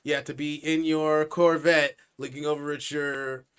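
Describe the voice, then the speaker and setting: Bright, clear-voiced American man, higher pitched. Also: flat delivery, moderate pace. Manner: profane, opinionated. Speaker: podcast co-host. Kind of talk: casual chat.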